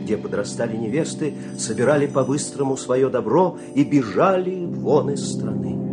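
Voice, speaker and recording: A man narrating a fairy-tale audio play in an expressive, scripted storytelling style. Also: mid-range voice.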